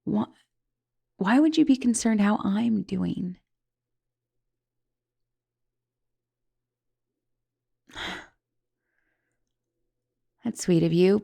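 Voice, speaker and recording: A young woman playing a guilty, caring giantess college student in an intimate ASMR roleplay, hesitant and stammering, with soft turns toward whispering. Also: medium pitch, creaky. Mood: guilty, incredulous. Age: young adult. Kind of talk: ASMR roleplay, intimate one-sided dialogue.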